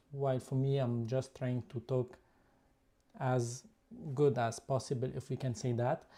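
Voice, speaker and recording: A man's voice: mid-range voice, warm tone. A man from Lebanon with a Lebanese accent, whose first language is Lebanese Arabic, hosting a casual photography live stream and chatting while he shows his camera gear. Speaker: YouTube photography channel host.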